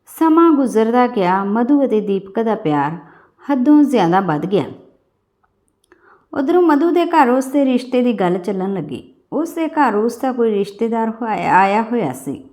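A woman's voice: fairly high pitch, big pitch swings, normal speed, dark tone. A woman reading a scripted story as an audiobook-style narrator.

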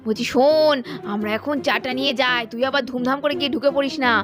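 A woman voicing a lively, nosy village daughter-in-law in a puppet cartoon, chatty, excitable and conspiratorial. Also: high voice, fairly quick, lightly acted. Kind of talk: puppet cartoon story dialogue.

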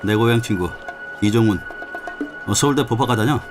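A man playing a loud, pushy young plainclothes riot cop who talks nonstop, in a radio drama. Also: fairly low pitch, brisk, natural voice. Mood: boisterous. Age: young adult. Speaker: plainclothes police. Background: background music.

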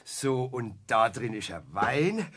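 A man's voice: medium pitch, very animated, slow pace, a bit bright, breathy edge, full character voice. An actor playing a jovial, blunt, teasing family man with a southern German accent in a children's audio drama. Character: jovial, blunt married male friend, teasing.

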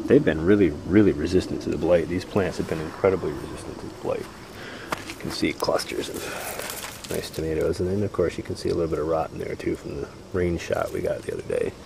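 A man with a very low voice walks through his farm fields, narrating casually to a handheld camera. He rambles easily with plenty of "uh"s. Crickets and insects buzz clearly in the outdoor background. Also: noisy background. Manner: easygoing, rambling, frequent "uh" fillers. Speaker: farmer giving a garden tour. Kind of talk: casual walking tour commentary. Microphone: handheld camera mic, walking.

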